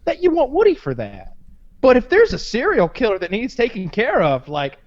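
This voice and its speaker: A man's voice: very high voice, huge pitch swings, moderate pace, dull audio. A man co-hosting a podcast, chatting casually and crudely, rambling with many restarts and fillers. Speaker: podcast co-host. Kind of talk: casual comedic chat.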